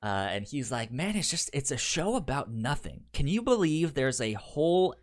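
A man co-hosting a podcast in casual, unscripted chat, with a stutter that repeats first syllables and a halting, explanatory way of talking. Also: medium pitch, big pitch swings. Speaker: podcast co-host.

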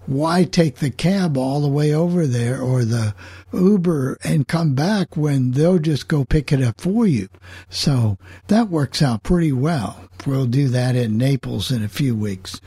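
A man's voice: medium pitch, dark tone, slightly rough, dry recording, studio quality. An older man hosting a casual group chat and moving the conversation along among friends. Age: 60s or older. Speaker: host of a club chat.

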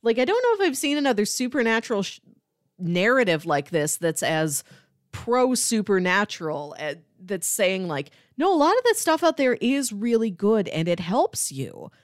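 A woman's voice: medium pitch, big pitch swings, moderate pace, no echo, studio quality. A woman co-hosting a podcast, talking casually and conversationally about books. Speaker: podcast co-host. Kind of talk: casual book discussion podcast.

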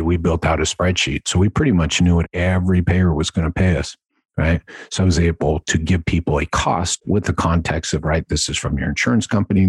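A man's voice: low-pitched, a little mellow, breathy edge, no echo. A man hosting a solo instructional talk in a conversational, emphatic way, repeating key points and checking in with the listener as he coaches. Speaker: podcast host and sales coach.

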